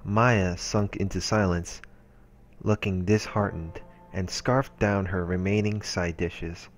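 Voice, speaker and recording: Casting: male let's-play narrator, low register, measured reading of story prose, soft music underneath. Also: fairly even intonation. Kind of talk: reading visual novel narration aloud. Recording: voice-over with music behind. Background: game music.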